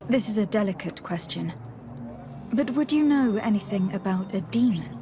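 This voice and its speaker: A woman's voice: medium pitch, expressive, normal speed, noisy background, close to an everyday voice. An actress playing a polite, composed young noblewoman envoy in a video game, courteous and formal. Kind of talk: video game character acting. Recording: music under the dialogue.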